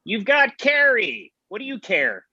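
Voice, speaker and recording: Actor as glib, husky man in his thirties. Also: high voice, huge pitch swings, a little slow, sharp tone, dry recording, heavily acted. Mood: dismissive. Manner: glib, casual. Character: glib, breezy thirty-three-year-old man, smug rival friend. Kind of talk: naturalistic comedy table read. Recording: dull, muffled lo-fi microphone.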